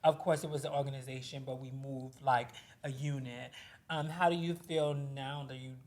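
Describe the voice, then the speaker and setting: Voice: medium pitch, steady tone, moderate pace. A podcast host interviewing guests with probing, teasing questions.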